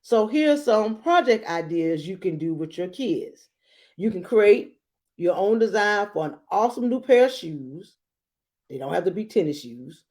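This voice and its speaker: A woman reading a storybook aloud like a teacher, adding expressive explanations and checking in with her listeners. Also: medium pitch, normal speed. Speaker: children's book read-aloud teacher.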